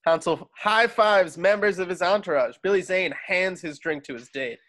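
A man with a slightly high voice reads scripted stage directions. He narrates as part of an amateur table read held over a video call. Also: moderate pace, fairly bright tone.

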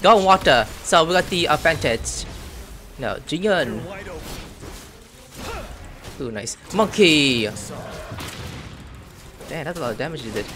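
A young man casually commenting on gameplay, joking and getting loud when excited. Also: a little high, slow pace, pauses often, background noise. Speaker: gaming streamer.

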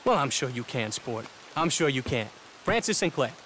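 A young male actor playing an eccentric, flustered, excitable young gentleman with old-fashioned slang in a video game. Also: medium pitch, moderate pace, slight background noise, poor audio. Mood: evasive, flighty. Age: young adult. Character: eccentric, jittery, slang-talking young gentleman, lost and scheming. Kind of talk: video game character acting.

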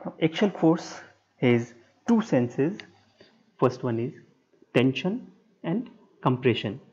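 A man giving a structural engineering lecture over a whiteboard screen recording, speaking in short, halting, measured phrases. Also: mid-range voice, big pitch swings, a little mellow, breathy edge. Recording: dull lo-fi sound.

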